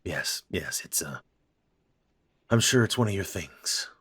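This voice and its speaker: A man voicing a gruff middle-aged father in an audio drama, his voice low and rough with a husky grain. He is stiff and clipped, uneasy with his grown son. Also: animated, slow, a bit bright, dry recording, studio quality, exaggerated voice. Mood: defensive. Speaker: voice actor.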